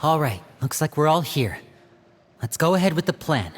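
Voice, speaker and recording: A man playing a composed, loyal young operative in a video game, measured and earnest as he leads. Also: a little high, big pitch swings, in a large hall, soft background music. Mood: composed, matter-of-fact. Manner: measured, explanatory, firm. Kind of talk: video game character dialogue.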